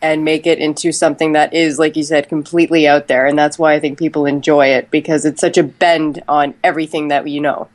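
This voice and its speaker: A speaker with a medium-pitched voice talks in a podcast discussion. The delivery is casual and conversational. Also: level tone, a little fast, hardly any pauses.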